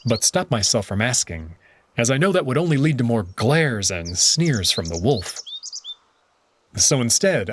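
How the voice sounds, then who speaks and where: A man reads first-person story narration, reflective and expressive. His pitch rises and falls with lively movement through each line. A faint bed of outdoor nature sound sits behind him. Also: a little hiss or hum. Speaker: narrator and lead character of a visual novel. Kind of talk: first-person scripted narration of a visual novel.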